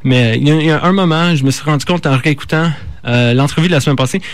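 A man with a Canadian French accent hosting a radio show, talking informally and haltingly as he stumbles over words and corrects himself. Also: fairly high pitch, no room sound. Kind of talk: informal live radio talk.

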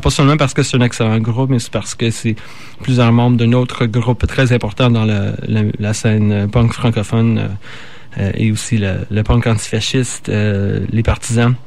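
A man with a Canadian French accent hosting a radio show, talking informally and haltingly as he stumbles over words and corrects himself. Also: mid-range voice, a little mellow. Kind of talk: informal live radio talk.

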